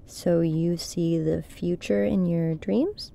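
A woman's voice: lowish voice, steady tone, slow, vocal fry, dark tone. An actress playing a guarded, brooding young woman, a daughter in a naturalistic horror audio drama. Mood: guarded, skeptical. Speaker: voice actor.

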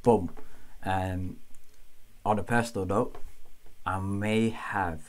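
Young male vlog host, deep voice with a slight cough rasp, casual and chatty, filmed at desk distance. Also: fairly even intonation, slow. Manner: chatty, keeps checking in with viewers, gestures a lot. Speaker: boxing channel host. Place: small home room with LED lights.

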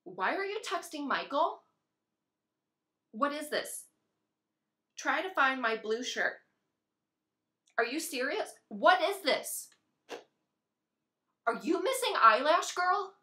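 A woman performing a dramatic monologue as a volatile, self-pitying, jilted young woman, swinging between breathy whimpers and loud outbursts. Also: high-pitched, very animated, takes it slowly, pauses often, heavily acted. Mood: suspicious, accusing.

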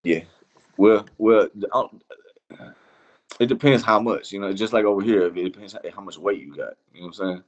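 Deep-voiced Iranian-born man, halting and slangy. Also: dull audio. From Iran. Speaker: interview guest. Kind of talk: casual chat.

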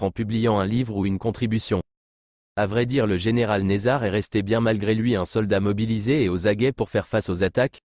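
Low-voiced man reading formal news narration. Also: a little mellow, no room sound, studio quality. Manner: formal, literary read-aloud delivery.

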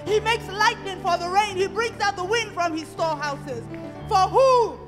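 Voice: extremely high pitch, moderate pace, in a large hall, over loud music. A preacher delivering a sermon in a rhythmic style, returning again and again to one refrain.

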